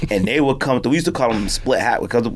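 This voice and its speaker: An American man hosting a podcast in casual chat, telling stories in a slangy, animated way. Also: medium pitch, fast, slight background noise. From United States. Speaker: podcast host. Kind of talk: casual chat about sneakers and hats. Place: podcast studio.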